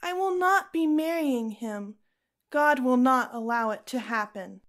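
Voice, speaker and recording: High female voice, an actress as resolute young Roman noblewoman, devout, earnest, firm, audio drama. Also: animated, measured pace, fairly bright tone. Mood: defiant.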